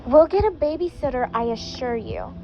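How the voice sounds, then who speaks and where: A woman softly reading the lines of a kindly mother. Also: sits high, lively intonation, normal speed, highs cut off, a little hiss or hum. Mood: gentle. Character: kindly mother, gentle and dismissive.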